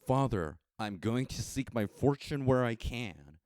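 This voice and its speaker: A man's voice: mid-range voice, lively intonation, measured pace, a little mellow, no room sound, clean studio sound, pitched above the performer's own voice, lightly acted. A man voicing earnest children bidding farewell in a storybook, speaking in plain declarations.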